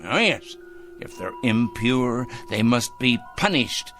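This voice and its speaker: A fussy, secretive zealot in a radio play, obsessed with judging evil. The voice is sharp and forceful, leaping up and down in pitch. The delivery is pompous, precise and condescending, with faint music in the recording. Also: male voice, very animated, slow. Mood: self-righteous, menacing. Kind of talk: radio drama acting.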